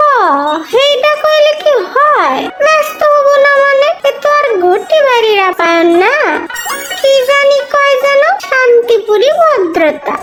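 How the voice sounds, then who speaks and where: A woman's voice: very high voice, expressive, measured pace. A woman voicing a brash, stingy, old-fashioned mother-in-law in a cartoon, blunt and theatrical, with an East Bengali accent. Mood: pushy hospitality. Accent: East Bengali (Bangal). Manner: blunt, sharp-tongued, theatrical grumbling. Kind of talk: cartoon dialogue. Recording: background music throughout.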